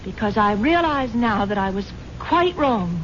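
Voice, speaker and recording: An American actress playing a trusting but stubborn wealthy wife in a 1970s radio drama, earnest and theatrical. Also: female voice, mid-range voice, animated, unhurried, lightly acted. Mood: indignant, defiant. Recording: dull, faintly noisy vintage radio broadcast.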